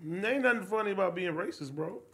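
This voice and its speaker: A man's voice: sits high, lively intonation, brisk. An American man chatting casually in a group, blunt and slangy, with profane teasing.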